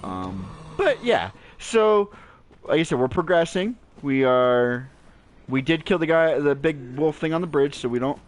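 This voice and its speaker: A man with a naturally deep voice giving relaxed, rambling gameplay commentary with many fillers, recorded at home on a cheap gaming headset mic. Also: unhurried. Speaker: gaming channel host. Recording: low-quality headset mic, faint hiss.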